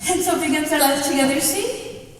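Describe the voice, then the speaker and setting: A woman's voice: sits high, brisk, lightly acted. A young woman playing a devoted young bride in a stage play. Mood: happy, coaxing. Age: young adult. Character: devoted young bride, playful, then alarmed. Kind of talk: school stage play acting. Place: indoor theatre stage. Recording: echoey theatre stage, distant mic.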